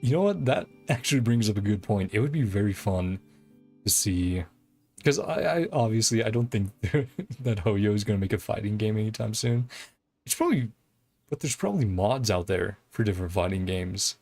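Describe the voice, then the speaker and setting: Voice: mid-range voice, lively intonation, normal speed, a little mellow, dry recording. A streamer giving casual, chatty commentary while playing a game, sounding easily amused. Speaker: livestream host playing a game. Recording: game music behind the voice. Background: video game music.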